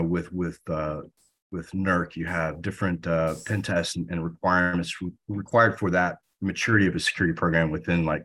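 A man's voice: sits low, a bit bright, breathy edge. A man hosting a podcast interview, asking questions with hesitant, stumbling restarts. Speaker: podcast host.

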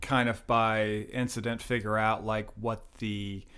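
Teasing deadpan podcast host man. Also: on the low side, measured pace, smooth. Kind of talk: casual chat.

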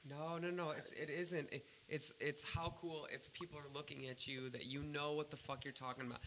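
A man's voice: a little high, little pitch movement, brisk, fairly bright tone, slight background noise, low-quality recording. A man co-hosting a casual podcast, talking earnestly and emphatically with plenty of swearing. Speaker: podcast co-host.

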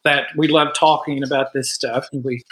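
A middle-aged man co-hosting a podcast over a video call, talking earnestly in casual chat with a hesitant, halting delivery. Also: medium pitch, fairly even intonation, a bit bright, rough recording. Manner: earnest, hesitant with many uh fillers and restarts. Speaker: podcast co-host.

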